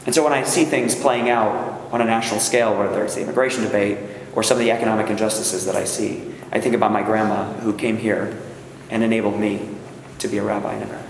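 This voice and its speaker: Rabbi addressing a gathering, conversational, keeps asking "right?", booming reverb from a big hall. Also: male voice, flat delivery, fast talker, creaky. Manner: conversational, checks in with the audience. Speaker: rabbi leading a workshop. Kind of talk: speech to a gathering. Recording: echoey hall, faint room noise.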